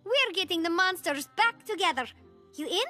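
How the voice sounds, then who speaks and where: A woman playing an upbeat, earnest teenage monster girl in an animated film. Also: extremely high pitch, normal speed, soft background music, heavily acted. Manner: earnest, eager narration and chatter.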